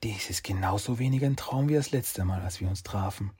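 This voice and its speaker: A man voicing a gentle, mystical female fox spirit, speaking softly and often in a whisper. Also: lowish voice, brisk, husky. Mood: soothing, hushed. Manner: soft, hushed, often whispering.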